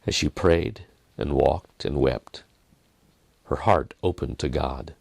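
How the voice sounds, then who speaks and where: A man reading a dramatic story in a measured manner, with deliberate pauses and softened phrases. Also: rumbling low, creaky, no echo. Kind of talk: dramatic scripted narration.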